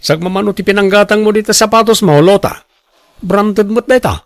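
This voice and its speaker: An actor with an Ilocano accent playing a boastful, defensive husband who loves showing off, in a radio drama. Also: male voice, sits high, fairly quick, no room sound, studio quality. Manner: showy, bragging. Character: boastful, defensive husband and co-worker obsessed with costly branded clothes.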